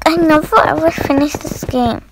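A woman casually narrating her gameplay, rambling and halting as she thinks aloud. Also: high voice, a little hiss or hum, poor audio. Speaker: gaming video host.

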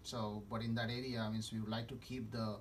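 A man's voice: fairly low pitch, flat delivery, quick pace, slight background noise. A middle-aged man in a small office, thinking aloud through figures while typing at his computer. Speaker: real estate investor. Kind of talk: casual phone discussion. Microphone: far camera. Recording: distant mic, faint keyboard typing.

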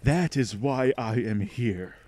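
A man's voice: medium pitch, slow, dark tone, slight background noise, natural voice. A man playing a cold, hungry alien monster in a horror radio drama, commanding and hypnotic with contempt for humans. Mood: contemptuous, gloating. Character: cold, hungry alien monster, ancient and menacing male predator. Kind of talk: old-time horror radio drama acting.

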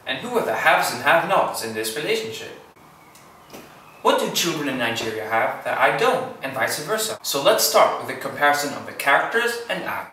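A teenage boy whose first language is Polish, presenting a school book report with playful asides, recorded in a small room on a camera mic. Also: medium pitch. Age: teens. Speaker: student. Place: small indoor room, plain wall. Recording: echoey room, distant mic, music behind.

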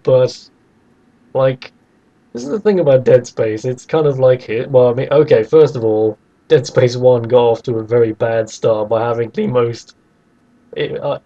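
A man's voice: medium pitch, normal speed, mellow, lo-fi sound. A man co-hosting a podcast, debating casually in a wry, sceptical way. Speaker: podcast co-host.

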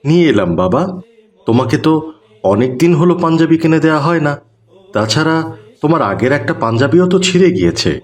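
A man playing an earnest, kindly father and family man in a cartoon, speaking in a preachy, measured, explanatory way. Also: on the high side, lively intonation, natural voice. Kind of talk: Bengali Islamic cartoon dialogue.

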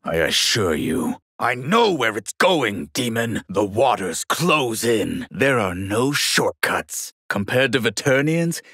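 An actor playing a proud, ambitious half-god water mage in a fighting video game, with a husky voice and a haughty, deliberate, theatrical delivery. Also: male voice, mid-range voice, big pitch swings, fairly bright tone, dry recording, clean studio sound, heavily acted. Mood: proud, guarded. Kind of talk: dramatic fighting game character lines.

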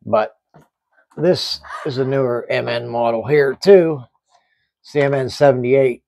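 A middle-aged man giving a casual hands-on hobby review in halting, explanatory short phrases. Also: mid-range voice, vocal fry, darkish tone, no echo. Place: small indoor hobby workbench.

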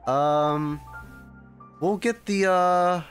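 A man's voice: sits high, extremely slow. A man streaming a game, reacting in a casual way with short muttered comments. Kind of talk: casual gameplay reaction chat. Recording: stream commentary over faint game music.

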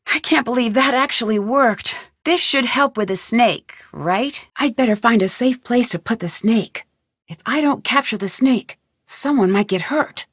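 A woman's voice: on the high side, unhurried, husky, dry recording, clean studio sound. A woman voicing a curious, determined young woman detective in a game, thinking aloud as she searches for clues. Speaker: game heroine. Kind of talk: game voice lines.

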